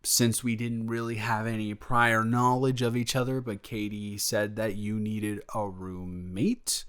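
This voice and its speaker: Man playing a slow, bashful young male roommate. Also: lowish voice, fairly bright tone, clean tone. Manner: hesitant, rambling, halting delivery. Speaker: audio roleplay performer. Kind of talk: improvised romantic roleplay, intimate casual chat.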